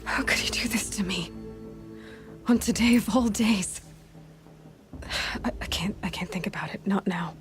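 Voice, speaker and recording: A woman plays a young huntress cast out from her tribe in a dramatic video game. Her voice sits at a middle pitch. She sounds earnest and stubborn with a wry, vulnerable edge, over soft background music. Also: moderate pace, pauses often, lightly acted. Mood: hurt, subdued.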